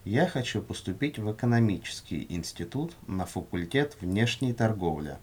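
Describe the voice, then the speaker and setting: A man reading scripted language-course answers in a measured, carefully enunciated way. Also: fairly low pitch, expressive, a little mellow, husky. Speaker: language lesson reader.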